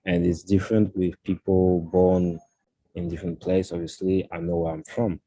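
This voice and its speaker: A man, a fashion designer speaking as a guest in a casual interview, pausing often and searching for words. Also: low voice, little pitch movement, mellow, poor audio. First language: French. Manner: halting, searching for words, many "uh"s.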